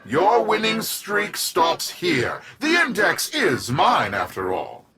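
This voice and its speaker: A pompous, greedy corporate villain from a video game. His slightly high voice swoops wildly and lingers slowly over the words, smug and theatrical, with music behind him. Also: huge pitch swings, crowd noise, heavily acted. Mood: taunting.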